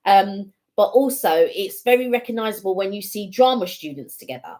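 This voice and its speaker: A woman's voice: mid-range voice, animated, normal speed, darkish tone. A woman co-hosting a live stream from home, talking casually and at length with many fillers like "you know" and "like". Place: home room with a heater.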